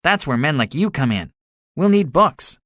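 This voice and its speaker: A man's voice: on the high side, very animated, normal speed, a bit bright, no room sound, studio quality, voice pushed up. A man voicing a cynical, boastful soldier survivor in a dramatized story, speaking emphatically with a swaggering manner. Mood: boastful, scheming. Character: cynical, boastful male soldier, excitable survivor with grand schemes. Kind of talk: dramatized audiobook dialogue.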